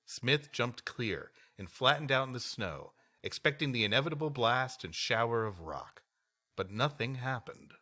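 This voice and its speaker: Bright-voiced man co-hosting a comedy podcast. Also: mid-range voice, lively intonation, moderate pace. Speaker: podcast co-host. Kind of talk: reading a history aloud with comic banter.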